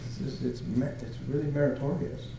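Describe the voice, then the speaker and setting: An older man from Canada giving a Buddhist talk as a monk, halting and searching for words with many fillers and soft chuckles. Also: medium pitch, fairly even intonation, gravelly, heavy reverb, slight background noise, low-quality recording. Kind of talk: dharma talk.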